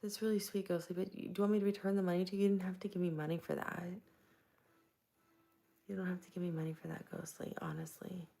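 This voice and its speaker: A soft-spoken woman from Tampa, Florida, chatting casually with viewers while hosting a live stream into a plugged-in microphone. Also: fairly low pitch, flat delivery, quick pace, creaky. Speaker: livestream host.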